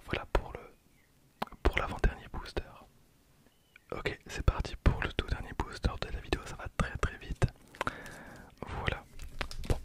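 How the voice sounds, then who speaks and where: A person in their twenties whispering casual ASMR commentary close to the microphone, with a breathy, unvoiced delivery. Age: 20s. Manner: hushed, casual asides. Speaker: ASMR video creator. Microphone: close handheld-recorder microphone.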